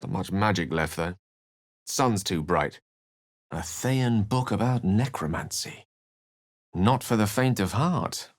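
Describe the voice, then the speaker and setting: A learned wizard from a fantasy game, lowish dry sound, articulate, kinda theatrical and wry. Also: male voice, expressive, takes it slowly, pauses often, no echo. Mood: musing, wry. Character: learned, wry, eloquent male human wizard companion. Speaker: video game voice actor. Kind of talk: fantasy game character lines and narration.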